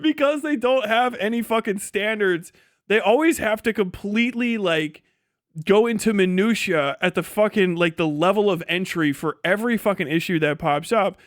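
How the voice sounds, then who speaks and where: A man's voice: high-pitched, moderate pace, a bit bright, dry recording, studio quality. A man doing casual livestream commentary, ranting in a profane, sarcastic and exasperated way. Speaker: livestream host reacting to other streamers.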